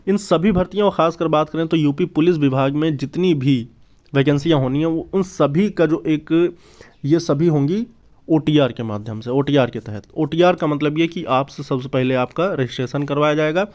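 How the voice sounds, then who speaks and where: A man's voice: a little high, normal speed, darkish tone. A man giving an informal explanatory news update, repeating and rephrasing his points as he goes. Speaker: YouTube exam news presenter. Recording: dull, lo-fi sound.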